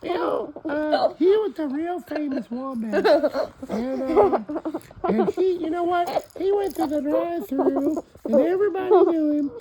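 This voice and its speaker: A man's voice: very high voice, a little slow, mellow, a little hiss or hum, low-quality recording. A man voicing an eager little puppet critic who chatters and repeats himself in a folksy improvised puppet show. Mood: chatty.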